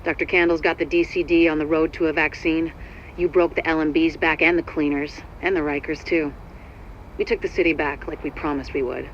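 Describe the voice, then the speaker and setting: An actor playing an earnest, determined ally briefing an agent in a video game, measured, sincere and lightly wry. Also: male voice, a little high, fairly even intonation, a bit bright, lo-fi sound, a little hiss or hum. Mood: reflective, hopeful. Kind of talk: video game cutscene monologue.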